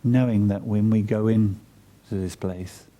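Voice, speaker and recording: A man leading a guided meditation in a soft, breathy, soothing and measured way, leaving long pauses between phrases. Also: fairly low pitch, steady tone.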